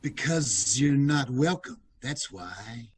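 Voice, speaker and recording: A man playing a surly, curt gangster with a husky voice in an old-time radio mystery. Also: mid-range voice, lively intonation. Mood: curt, hostile. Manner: curt, menacing, unhurried. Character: surly, slangy male gangster.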